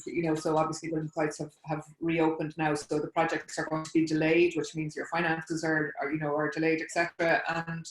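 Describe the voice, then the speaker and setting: A woman's voice: sits low, roomy sound, poor audio. A woman with an Irish accent, a native Irish speaker, reflecting hesitantly as an artist on a discussion panel. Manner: reflective, hesitant, many fillers.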